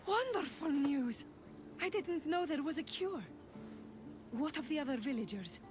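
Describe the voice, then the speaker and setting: A woman's voice: sits high, lively intonation, unhurried, music in the background. A woman playing an anxious, secretive village mother in a video game, speaking formally and urgently. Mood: relieved, grateful. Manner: dramatic, urgent, formal wording. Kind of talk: video game cutscene acting.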